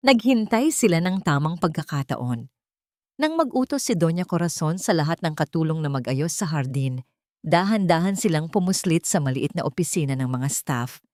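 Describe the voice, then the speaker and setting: A woman's voice: deep voice, expressive, moderate pace, a little mellow, dry recording, clean studio sound. A woman storyteller giving scripted dramatic narration of a love story.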